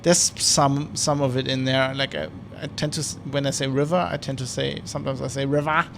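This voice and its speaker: A man with a gravelly, fry-edged voice chats loosely while streaming, speaking English. His talk is casual and meandering, full of false starts and self-corrections. His pitch sits at an ordinary middle level for a man. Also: level tone, fairly quick, a bit bright, a little hiss or hum. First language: German. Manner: rambling, with many restarts and self-corrections. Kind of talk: casual flight-sim stream commentary.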